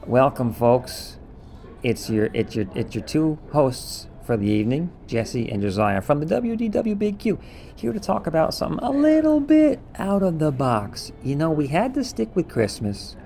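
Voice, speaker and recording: A man's voice: fairly high pitch, sing-song, moderate pace, gravelly, mellow. A man co-hosting a podcast, chatting casually and recapping in a rambling, offhand way. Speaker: podcast co-host.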